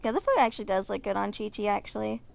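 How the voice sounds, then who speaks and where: A woman in her twenties giving casual, rambling gameplay commentary, sometimes halting over her words. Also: mid-range voice, lively intonation, vocal fry, a little hiss or hum. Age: 20s. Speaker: video creator.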